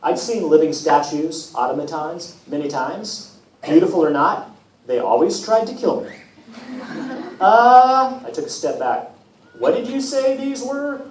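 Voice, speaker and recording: An echoey, distant recording of a man reading as a wry teenage boy demigod telling his story. The voice is fairly high and swings widely in pitch, with self-deprecating, put-upon humour. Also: big pitch swings, measured pace. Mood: uneasy. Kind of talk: first-person storybook reading. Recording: echoey room from far off, hissy noise.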